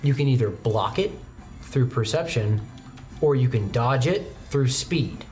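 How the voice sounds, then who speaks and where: A woman's voice: extremely low pitch, expressive, unhurried, mellow. A woman working as game master, narrating a tabletop role-playing game off the cuff. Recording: music behind the voice. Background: background music.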